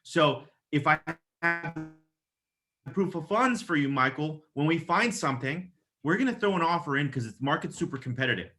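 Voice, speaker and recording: A man with a slightly high, bright and punchy voice coaches listeners like a real estate agent. He is casual and emphatic, mildly profane, and slips into example phone pitches, often checking in with a quick question. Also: animated, soft background music. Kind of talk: conversational coaching with acted-out example phone calls.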